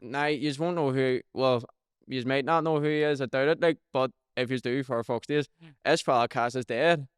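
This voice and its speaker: A young man with a Belfast accent talks loosely on a podcast, swearing freely and tagging sentences with "do you know what I mean". His voice is smooth and clear, at an ordinary male pitch. The recording is dry and close. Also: normal speed, no room sound. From Northern Ireland. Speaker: rapper guest. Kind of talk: casual podcast chat.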